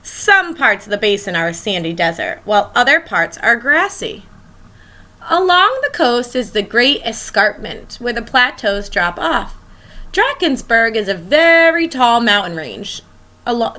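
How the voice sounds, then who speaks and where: Bright, animated woman reading to children. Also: fairly high pitch, a little slow. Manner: expressive, asks questions to young listeners. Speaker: elementary school teacher reading aloud. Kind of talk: children's picture book read-aloud.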